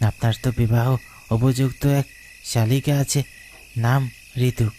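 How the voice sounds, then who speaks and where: A man's voice: mid-range voice, fairly even intonation, slow, warm tone, a little hiss or hum, lightly acted. A man playing a mysterious, aloof bullock-cart driver in a cartoon ghost story, speaking in a cryptic, formal way. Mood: guarded, calm.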